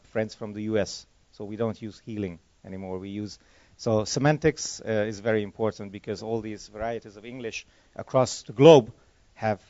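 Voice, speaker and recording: A man's voice: fairly low pitch, a little mellow, no room sound. Guy fielding questions at a conference, rambly, keeps fixing his words, dry dull lo-fi sound. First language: Hungarian. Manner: chatty, rambling, self-correcting. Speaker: conference speaker. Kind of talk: conference Q&A answers.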